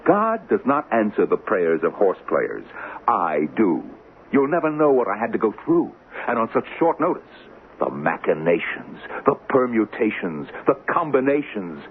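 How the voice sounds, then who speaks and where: Theatrical smug Devil, swooping voice. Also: male voice, fairly low pitch, sing-song, moderate pace. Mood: grandiose. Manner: theatrical, boastful, sly. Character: the Devil as a smug, grandiose, wheedling male hustler. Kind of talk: 1970s radio drama acting. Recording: tinny, noisy 1970s radio broadcast.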